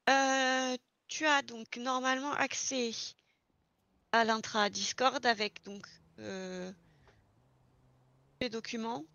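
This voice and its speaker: A woman's voice: fairly high pitch, fairly even intonation, takes it slowly, gravelly, long pauses, bright, forceful tone, smooth, no echo, highs cut off. A woman explaining things step by step in an informal, casual roleplay chat. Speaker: experienced garage employee training a new recruit in online roleplay.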